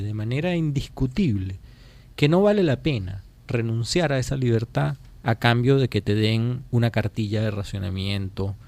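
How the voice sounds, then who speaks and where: This is a man with a Venezuelan accent and a fairly low voice with a creaky, gravelly edge. He speaks as a guest in a political radio interview. His delivery is deliberate and emphatic, often restating a phrase to press the point. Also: a little mellow. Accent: Venezuelan Spanish. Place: radio studio.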